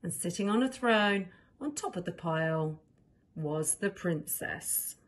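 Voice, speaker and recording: Animated woman reading a children's story. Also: on the low side, unhurried. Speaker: story reader. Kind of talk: expressive children's storybook narration.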